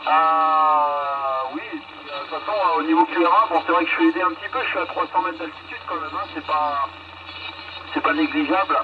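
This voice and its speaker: A man's voice: fairly high pitch, moderate pace. A man from France chatting casually over a radio from a moving car, repeating phrases as he reports. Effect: radio transmission. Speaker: mobile amateur radio operator. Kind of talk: casual CB radio contact chat. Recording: dull, very noisy CB radio reception. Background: loud radio and vehicle noise.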